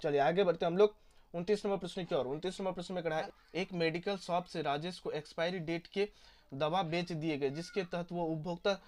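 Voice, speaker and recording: A young man, walking students through exam questions and repeating each answer. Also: on the high side. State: hoarse. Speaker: teacher.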